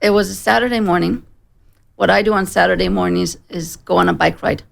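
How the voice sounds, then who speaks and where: Very creaky woman, slight American accent. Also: on the low side, fairly even intonation, fairly quick. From Israel. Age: 40s. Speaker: interview guest. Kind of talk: personal account in an interview. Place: broadcast studio.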